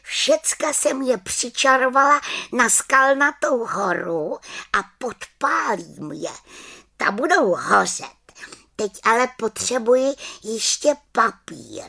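A woman's voice: a little high, big pitch swings, gravelly, pushed and bright, pitched above the performer's own voice, heavily acted. A woman voicing a plucky, resolute little good witch in a storybook reading. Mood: triumphant. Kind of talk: storybook character voice.

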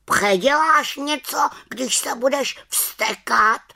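A woman's voice: high voice, creaky, pushed and bright, pitched above the performer's own voice, exaggerated voice. A woman voicing a wise, nagging male raven in a children's storybook, scolding and squawking as it talks. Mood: lecturing. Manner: scolding, exclaiming, squawking delivery.

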